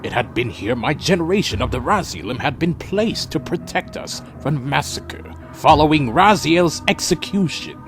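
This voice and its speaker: A man's voice: a little high, expressive, normal speed, hardly any pauses. A man playing a proud, defiant vampire clan leader in an audio drama, formal and theatrically intense. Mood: grave, resolute. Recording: dramatic music behind.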